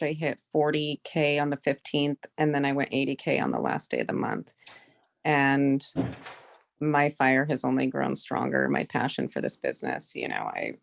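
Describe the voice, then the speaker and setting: A woman's voice: deep voice, gravelly. A woman from Hawaii speaking casually and earnestly about herself as a guest on a group call. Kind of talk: casual personal testimony.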